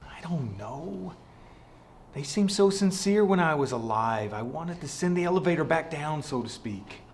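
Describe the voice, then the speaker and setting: A man acting as a ghostly literature professor, wry and theatrical in a web series. His delivery swings in lively arcs. The recording is roomy and echoing, with noise behind him. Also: a little high, normal speed, close to an everyday voice. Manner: wry, rhetorical, theatrical phrasing. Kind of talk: acted web series comedy-drama. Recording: roomy echo, clear background noise.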